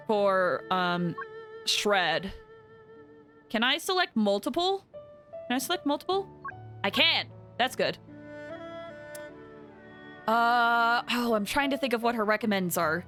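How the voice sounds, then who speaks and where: An excitable woman livestreams a game in a high, very bright voice. Her delivery swings widely in pitch as she thinks aloud in casual chat, breaking into exclamations as she goes. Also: measured pace, pauses often, soft background music. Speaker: game streamer.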